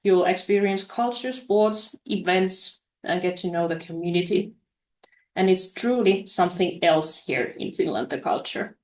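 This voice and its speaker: A woman presenting study opportunities over a webinar, halting, with many fillers and restarts. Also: fairly low pitch, echoey room, low-quality recording.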